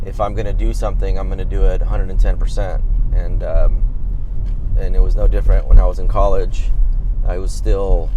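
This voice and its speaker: A man's voice: low voice, background noise. A man in his late thirties from Southern California, a trial lawyer chatting casually on a podcast, self-deprecating and rambling with frequent restarts. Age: 30s.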